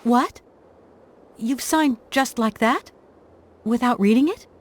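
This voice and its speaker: A woman's voice: fairly high pitch, slow. An actress playing an earnest young professional woman, courteous and businesslike, in a video game. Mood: polite, surprised.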